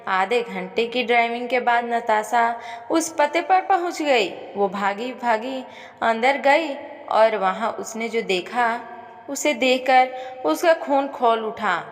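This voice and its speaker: A woman's voice: fairly high pitch, animated, slow pace. A woman narrating a dramatic scripted story in a melodramatic manner, with long reverb on her voice. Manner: melodramatic, reads speaker names before dialogue.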